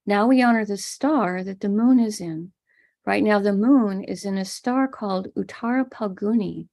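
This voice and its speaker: American woman, spiritual teacher, gentle slow explanation, gravelly mellow voice. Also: medium pitch. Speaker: spiritual teacher leading a ritual. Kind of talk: guiding a live online ritual. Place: home room in Florida.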